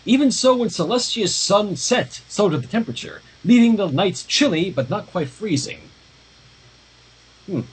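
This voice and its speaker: A man's voice: high voice, very animated, normal speed, breathy edge, poor audio. A man reading a story aloud informally on a livestream, dropping in casual asides. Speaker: livestream fan fiction reader.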